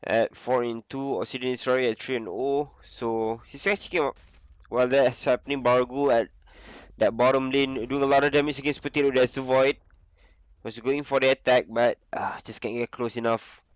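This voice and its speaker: A young man with the flu, casually commentating a video game on his own, with a lot of filler pauses. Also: mid-range voice, fairly even intonation, clean tone, no room sound, muffled recording. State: sick with flu. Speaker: esports caster.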